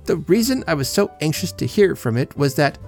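A man reading a story and voicing a shrewd elderly aunt who asks probing, sharp questions. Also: low-pitched, animated, fairly quick, soft background music, pitched above the performer's own voice. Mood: curious.

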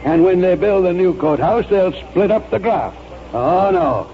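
A proud old army colonel with a high voice and grand, formal oratory. He is stubborn and pompous, in classic radio drama style. The old recording is muffled, with audible noise. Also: animated, unhurried. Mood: indignant, defiant. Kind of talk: 1940s radio drama acting.

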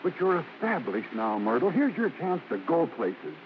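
A man playing a smooth-talking, persuasive show tenor in old radio drama style. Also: fairly high pitch, very animated, normal speed. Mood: coaxing. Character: smooth-talking male tenor urging ambition. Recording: very noisy muffled old radio.

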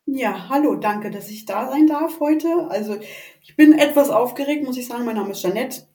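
A woman's voice: sits high, lively intonation, normal speed, mellow. A woman in her forties with a northern German accent, chatting hesitantly as she tells her own story as a podcast guest. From Rostock, northern Germany. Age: 40s (48). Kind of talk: personal story in a casual interview. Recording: echoey room, distant from the mic.

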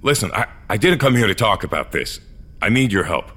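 An actor playing a weary, guilt-ridden, very old augmented great-grandfather in an audio drama, with a hesitant, low-key manner. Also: sing-song, brisk, sharp tone, full character voice. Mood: defensive, pleading. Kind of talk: audio fiction acting with first-person narration.

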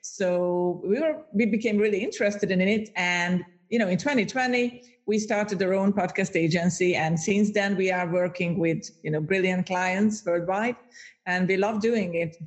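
A woman's voice: mid-range voice, moderate pace. A woman from Hungary, a native Hungarian speaker with a Hungarian accent, talking upbeat and searching for words as a guest from her home office. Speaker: podcast agency owner, guest. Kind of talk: casual remote interview.

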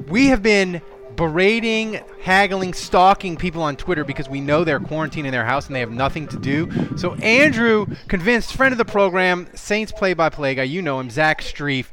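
A man's voice: on the high side, animated, moderate pace, few pauses, over music. A man hosting a sports podcast, talking casually and reading sponsor spots. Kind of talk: casual sports talk and ad reads.

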